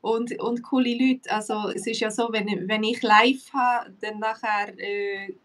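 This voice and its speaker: A woman's voice: mid-range voice, unhurried, distant mic. Chatty Swiss German woman host, off-mic. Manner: relaxed, chatty, many fillers, laughs often. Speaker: language teacher and host. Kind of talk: casual live chat.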